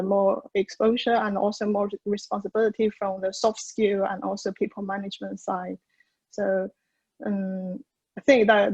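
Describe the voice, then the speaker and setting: Young woman on a panel, flat steady voice, lots of "like" and "uh", dry sound. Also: medium pitch, dry recording, highs cut off. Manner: hedging, many fillers and restarts. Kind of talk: conference panel talk.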